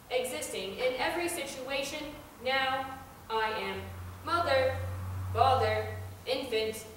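High, echoing oracle played by a woman. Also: fairly bright tone, slight background noise. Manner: deliberate, incantatory, declamatory. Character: serene oracle of the universe, solemn and mystical. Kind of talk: stage monologue. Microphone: far camera. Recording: echoey theater, recorded from far off.

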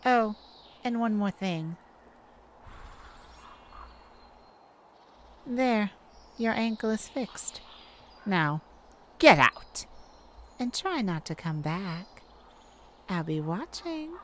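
A woman's voice: medium pitch, expressive, slow pace, pauses often, slight background noise. A woman voicing a wicked, taunting forest nymph in a theatrical ASMR roleplay, teasing and menacing, drawing out her words. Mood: teasing, commanding.

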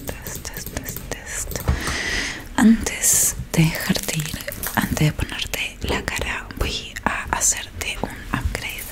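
Slow whispering young Rioplatense woman. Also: low-pitched. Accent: Rioplatense Spanish. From Argentina. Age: young adult. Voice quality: breathy whisper. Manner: soft, slow, repeating words soothingly. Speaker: ASMR roleplay creator playing a technician. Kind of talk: ASMR roleplay. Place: small dim curtained room indoors. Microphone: close microphone in front of her.

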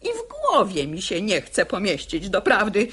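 Husky, preachy elderly mother. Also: medium pitch, huge pitch swings, moderate pace, a bit bright, exaggerated voice. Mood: stubborn preaching. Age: older. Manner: sententious, theatrical. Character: stubborn, sanctimonious elderly mother, credulous and preachy. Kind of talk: theatrical radio drama acting in verse.

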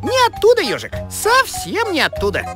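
A man's voice: extremely high pitch, huge pitch swings, moderate pace, music in the background, heavily acted. A man voicing a brash, stubborn young rabbit in a cartoon, with a cocky, bossy way of arguing. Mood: indignant, bossy. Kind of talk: animated cartoon dialogue.

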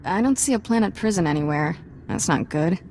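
Young woman apprentice, deeper voice, wry, game acting, noisy ambience. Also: expressive, fairly quick, darkish tone. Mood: wry, determined. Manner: casual, wry. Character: plucky, wry young female Jedi Padawan. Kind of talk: video game cutscene acting.

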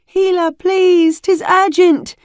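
A woman's voice: expressive, normal speed, no room sound, studio quality, natural voice. A woman voicing a breathless, frantic young male squire who is barely old enough to grow a beard. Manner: breathless, urgent, high and loud.

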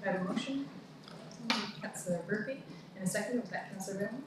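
A woman with a Canadian accent chairing a town council meeting, reading motions and calling votes in a brisk, procedural way. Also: medium pitch. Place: large council chamber. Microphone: far room mic. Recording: echoey room from far off, background noise.

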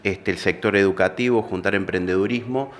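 A middle-aged man, an employment office coordinator, speaking in a steady official way with fillers, into a handheld microphone in an office room. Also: on the low side, brisk. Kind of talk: news interview. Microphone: handheld reporter microphone.

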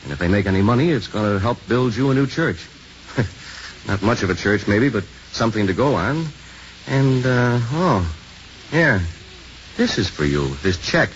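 An actor playing an easygoing, reassuring younger priest who coaxes gently and hesitates, in a 1950s radio drama style. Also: male voice, fairly low pitch, expressive, moderate pace, lightly acted. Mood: casual, encouraging. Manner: soothing, hesitant when breaking news. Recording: muffled, hissy 1950s radio recording.

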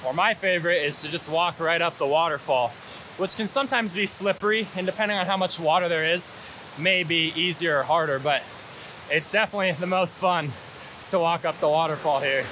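A man in his twenties with an American accent, talking casually as a hiking and photography guide outdoors on an action camera. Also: fairly high pitch. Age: 20s. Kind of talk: casual vlog explanation. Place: outdoors in a canyon beside rushing water. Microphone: action camera at arm's length. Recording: hiss and loud rushing-water noise. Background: rushing water, hiss.

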